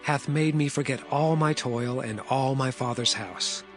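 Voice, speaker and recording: A man's voice: mid-range voice, a little slow, soft background music, lightly acted. A man voicing a solemn young governor of ancient Egypt, declaiming in a dramatized Bible audio story. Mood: solemn, thankful. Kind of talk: dramatized scripture reading.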